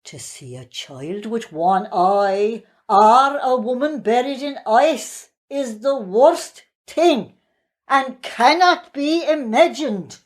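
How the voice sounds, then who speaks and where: An Irish woman actor playing a haunted, persecuted outcast madwoman in a plaintive, deliberate dramatic poetry reading. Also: on the high side. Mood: anguished, fearful.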